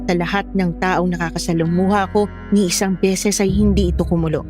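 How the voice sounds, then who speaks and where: A woman's voice: on the low side, fairly even intonation, brisk, music in the background, close to an everyday voice. A woman with a Filipino accent playing a pregnant young wife who narrates her own horror story. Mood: uneasy, suspicious. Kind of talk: first-person scripted horror story narration.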